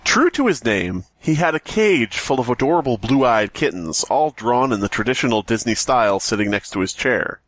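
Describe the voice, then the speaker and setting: A man's voice: medium pitch, expressive, moderate pace, few pauses, a bit bright, no echo. A man hosting a podcast, chatting casually and reading horror stories aloud with joking, sweary asides. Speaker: podcast host. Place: remote group voice call.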